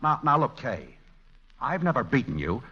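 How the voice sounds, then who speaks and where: A lively, wisecracking 1940s radio con man. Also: mid-range voice, breathy edge, heavily acted. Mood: pleading, wheedling. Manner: stammering, wisecracking, quick to recover. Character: smooth-talking, flirtatious amnesiac con man posing as husband. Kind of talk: 1940s radio romantic comedy acting. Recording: dull, muffled vintage radio recording.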